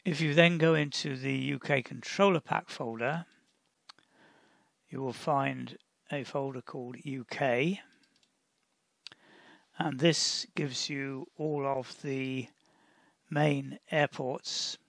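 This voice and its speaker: A man narrating a step-by-step software tutorial in a deliberate, instructional way, often pausing mid-sentence. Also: medium pitch, fairly even intonation, gravelly, no echo. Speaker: tutorial presenter.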